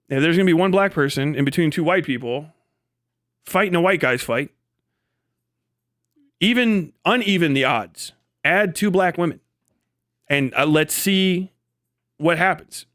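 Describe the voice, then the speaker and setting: A man livestreaming a casual, rambling monologue, thinking out loud in an animated way and swearing freely. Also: sits high, no room sound, clean studio sound. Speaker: livestream host.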